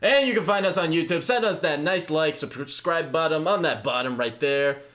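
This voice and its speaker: A man's voice: fairly high pitch, moderate pace, background noise. A man in his mid-twenties co-hosting a sports podcast, chatting in an upbeat, casual way. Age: 20s. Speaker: podcast co-host.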